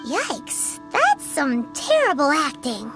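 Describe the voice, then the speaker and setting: A woman voicing a tiny, childlike fairy companion in scripted game dialogue, squeaky, blunt and fretful. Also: huge pitch swings, slow pace, music in the background, full character voice. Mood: exasperated.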